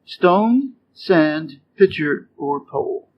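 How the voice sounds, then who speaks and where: An American man from the Midwest reading short fables and quiz questions clearly and deliberately for English learners. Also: a little high, lively intonation. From Wisconsin, USA. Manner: clear, deliberate, rising quiz intonation on answer choices. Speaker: English teacher reading stories. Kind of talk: slow scripted storybook reading for learners. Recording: dull lo-fi sound with faint noise.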